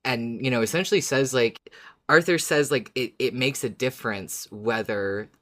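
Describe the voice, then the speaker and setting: A man's voice: mid-range voice, fairly bright tone. Earnest man, casual podcast co-host. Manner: halting, earnest, repeats words for emphasis. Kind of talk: casual fan discussion podcast.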